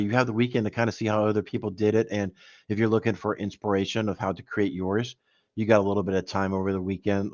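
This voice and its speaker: A man's voice: on the low side, no echo, lo-fi sound. A man guest-hosting a live cyber news stream, chatting casually with many fillers and restarts. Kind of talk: casual livestream chat.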